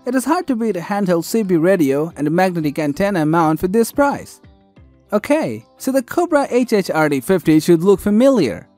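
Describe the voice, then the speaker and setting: Lively high-pitched male product narrator. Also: normal speed, no room sound, soft background music. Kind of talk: scripted product countdown narration.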